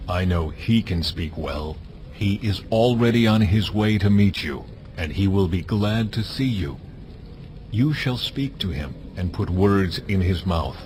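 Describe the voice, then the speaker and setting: An actor playing God as a solemn, deliberate, authoritative divine voice in a dramatized scripture reading. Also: male voice, sits low, animated, background noise. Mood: stern, authoritative.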